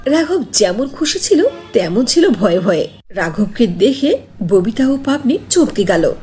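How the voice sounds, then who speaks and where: A woman narrating a scripted cartoon fairy tale in an animated, expressive way. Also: on the high side, unhurried, soft background music.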